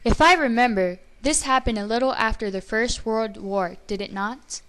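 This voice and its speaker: High-voiced 1920s radio co-hostess, acted by a young woman. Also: moderate pace, a bit bright, slight background noise, close to an everyday voice. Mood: informative. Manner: scripted, read-aloud delivery with period slang. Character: slangy 1920s radio co-hostess reading news and sponsor spots. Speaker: radio co-host. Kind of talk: scripted 1920s radio show acting.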